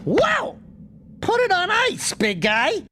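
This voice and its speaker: A man's voice: very high voice, wildly swooping pitch, slow pace, full character voice. A man playing a small, cocky, wisecracking animal sidekick in a cartoonish video game. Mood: boastful, brash. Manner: brash, wisecracking, boastful. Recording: game music behind.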